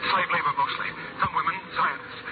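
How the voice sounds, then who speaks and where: A man playing a desperate, breathless professor stranded on the moon, speaking in halting, urgent phrases over a shortwave transmission in an old radio drama. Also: fairly high pitch. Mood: urgent, labored. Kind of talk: 1950s radio drama acting. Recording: noisy old radio, heavy static, narrow band.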